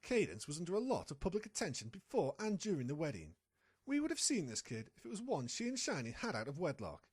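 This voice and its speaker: Scheming pony reporter, played by a woman: husky, hugely animated delivery, confident and impatient, for an audio drama. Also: low-pitched, fast, heavily acted. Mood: insistent deduction. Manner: confident, conniving, impatient with a partner. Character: sly, scheming pony reporter chasing a scoop. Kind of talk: fan audio drama acting.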